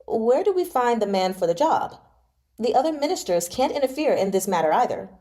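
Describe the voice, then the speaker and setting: A woman voicing an eager, excitable and easily pleased young emperor in a novel read-aloud. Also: fairly high pitch, a little fast. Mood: hesitant.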